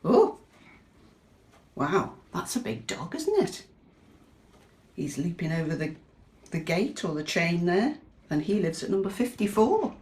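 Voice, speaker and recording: In an echoey room, a British woman reads a children's story at an unhurried pace. Her pitch swings widely as she performs the lines with expression. She chats to her young listeners and asks them questions like a teacher at storytime. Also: big pitch swings, a little mellow. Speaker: teacher reading a picture book to young children. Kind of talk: animated children's storytime read-aloud with chatty asides.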